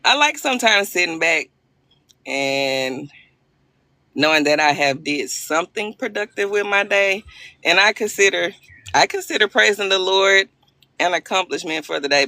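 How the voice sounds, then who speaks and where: A bubbly woman, hosting a live stream and chatting playfully with her viewers. Her voice is bright and carries a gravelly rasp. The sound is close and dry, with no room echo. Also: low-pitched, animated, normal speed. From Arkansas. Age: 40s. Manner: chatty, playful, self-deprecating, greets viewers by name. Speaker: livestream host.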